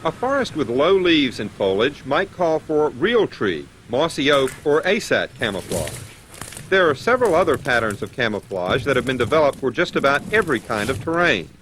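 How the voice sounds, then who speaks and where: A man with an American accent narrating a scripted training film as an instructor, methodical and clear in his delivery. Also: a little high, fairly bright tone. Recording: noisy 1990s training film soundtrack.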